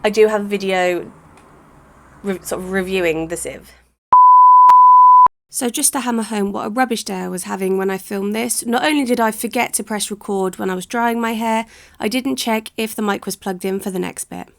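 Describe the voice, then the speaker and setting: A woman, chatting casually through a hair-care vlog, a little croaky, rambling with lots of "sort of". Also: medium pitch, moderate pace. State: slightly croaky voice. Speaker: hair vlogger.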